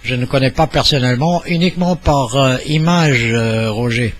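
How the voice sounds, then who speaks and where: Older man, polite radio operator. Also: mid-range voice. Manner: formal, polite, halting. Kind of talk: amateur radio contact, courteous exchange. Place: small indoor home radio room. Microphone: studio mic on boom arm, headset.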